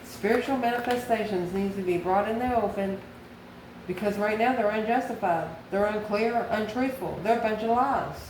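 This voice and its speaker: A woman's voice: medium pitch, normal speed. A woman giving an informal tarot reading straight to the viewer, musing aloud and answering her own questions. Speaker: tarot reader and channel host. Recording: echoey room with steady background noise.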